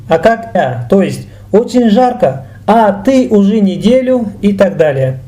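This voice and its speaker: A man gives a language lesson in a high but soft-edged, mellow voice. He explains in a didactic way and sets out each example with deliberate care. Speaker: language teacher.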